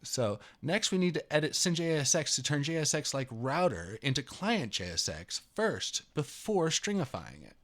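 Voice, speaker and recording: A man's voice: on the high side, sing-song, moderate pace, few pauses, a bit bright. A man in his thirties reading a written programming tutorial aloud, with an emphatic, somewhat dramatic delivery. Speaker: tutorial host. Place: indoor room at a computer.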